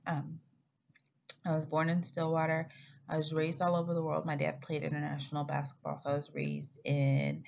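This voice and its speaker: Woman, 30s, from Oklahoma. Low, gravelly voice. Chatty vlog delivery with self-corrections. Slightly dull recording. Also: a little mellow. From Oklahoma, United States. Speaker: flight attendant vlogger. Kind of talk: casual chat to camera. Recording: slightly muffled with faint background hiss.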